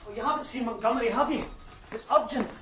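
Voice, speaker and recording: Flustered cop, high voice, hurried, faint room noise, radio drama. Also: male voice, a bit bright. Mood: urgent. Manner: hurried, informal. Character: flustered, urgent male policeman. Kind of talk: naturalistic radio drama acting.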